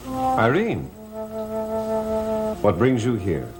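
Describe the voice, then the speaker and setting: An actor playing a brooding, courteous master of a gothic manor in a television soap, measured and somewhat hesitant. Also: male voice, mid-range voice, huge pitch swings, heavily acted. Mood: courteous, guarded. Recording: old TV soundtrack with organ music.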